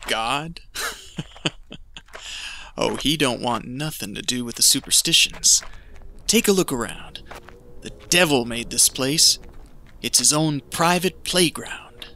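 Man acting sharp-voiced, scheming gold prospector. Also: medium pitch, slow pace, long pauses, slight background noise. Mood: menacing. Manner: folksy, ominous drawl. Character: cold, scheming male gold prospector in Arizona mountains. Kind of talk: audio drama acting.

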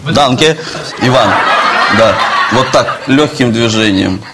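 A man's voice: medium pitch, slow pace, heavy background noise. A man cooking on a TV show, explaining each step with jokes and telling others what to do. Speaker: guest cook. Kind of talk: cooking demonstration banter. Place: studio kitchen set.

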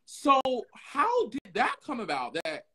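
A woman hosting a casual interview over a video call, chatty and quick with excited asides. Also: fairly high pitch, husky. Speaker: interview host. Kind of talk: casual chat. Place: home room, video call.

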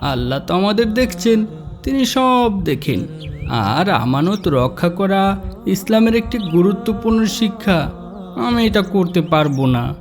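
Man acting a virtuous cartoon boy, high voice, earnest, honest, admonishing, music behind. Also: sing-song, measured pace, full character voice. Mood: firm, admonishing. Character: pious, honest, helpful boy, the good older brother. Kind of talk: children's moral cartoon dub.

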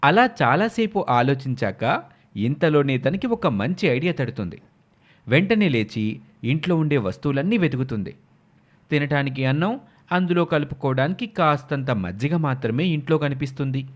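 Animated, higher-pitched male storyteller. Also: moderate pace. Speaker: story narrator. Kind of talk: scripted dramatic storytelling narration.

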